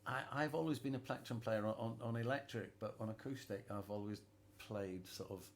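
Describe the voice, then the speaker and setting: A man's voice: on the low side, steady tone, fairly quick, a little hiss or hum. A man hosting a casual music chat, hesitant and repeating words, laughing often. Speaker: host.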